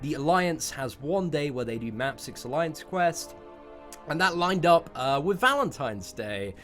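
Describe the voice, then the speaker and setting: Higher-voiced young man, rambling casually. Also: sing-song, a little slow, a bit bright, soft background music. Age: 20s. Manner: informal, sweary, rambling, emphatic word repetition. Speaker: YouTube content creator. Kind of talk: casual storytelling to viewers.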